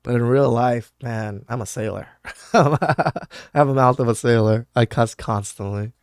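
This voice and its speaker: A man's voice: medium pitch, a little slow, smooth, no room sound, clean studio sound. A man hosting a podcast, talking bluntly and casually as he reacts to clips, cussing freely and restarting his sentences. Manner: blunt and profane, with many restarts and fillers.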